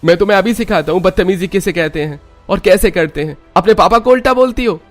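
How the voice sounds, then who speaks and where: Cartoon dad male actor, notably high-pitched, agitated, short-tempered, music underneath. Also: quick pace, lightly acted. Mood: angry. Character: hot-tempered, troubled working father, man.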